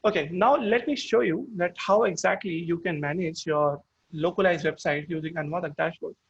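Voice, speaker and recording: A man's voice: on the high side, fairly quick, gravelly, breathy edge, highs cut off. A man with an Indian accent and Hindi as his first language, giving a webinar product demo, explaining step by step with frequent restarts. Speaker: product presenter.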